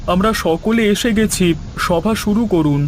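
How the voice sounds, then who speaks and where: A man's voice: high voice, measured pace, noisy background, voice pushed up. A man voicing a resourceful village chicken seller in cartoon dialogue, earnest, confident and respectful. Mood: earnest, determined.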